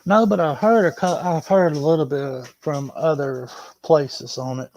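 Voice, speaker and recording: A man's voice: a little high, fairly even intonation, unhurried, darkish tone, dry recording, poor audio. A man speaking casually as a guest on a livestream. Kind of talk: casual chat.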